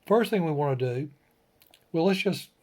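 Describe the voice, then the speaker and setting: A man's voice: a little high, expressive, normal speed, darkish tone. A man hosting a radio tutorial in a folksy, plain-spoken way, walking through an informal step-by-step demonstration in short phrases. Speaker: ham radio tutorial host.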